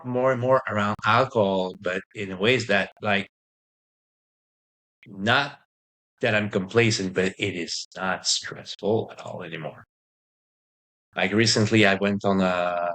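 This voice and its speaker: Podcast co-host, man, low voice, halting and full of restarts. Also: a bit bright, rough recording. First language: not English. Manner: hesitant, halting, many restarts and fillers. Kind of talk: casual recovery podcast chat.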